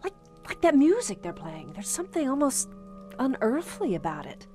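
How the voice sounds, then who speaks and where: An actress playing a sardonic, uneasy young wife on honeymoon, in old-time radio drama style. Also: female voice, high voice, big pitch swings, normal speed, warm tone, faint music behind. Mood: exasperated, uneasy. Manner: dry, sarcastic.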